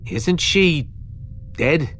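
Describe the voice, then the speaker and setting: A man voicing a brash, skeptical little creature sidekick in an animated space game, blunt and streetwise. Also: a little high, very slow, a little hiss or hum, full character voice. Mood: skeptical, grudging. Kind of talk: animated game drama acting.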